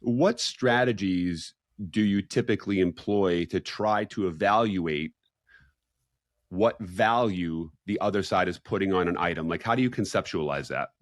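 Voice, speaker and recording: A man's voice: low-pitched, moderate pace, no room sound, studio quality. A man hosting a podcast, interviewing a guest with eager, rambling questions that he sometimes restarts. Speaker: podcast host.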